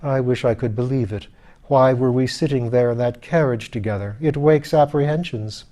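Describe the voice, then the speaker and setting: A man's voice: medium pitch, normal speed, dark tone, close to an everyday voice. A man voicing a cold, sardonic skeleton lady in an audiobook, with a dry, cutting, formally courteous manner. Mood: bitter, dry. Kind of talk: audiobook character voice.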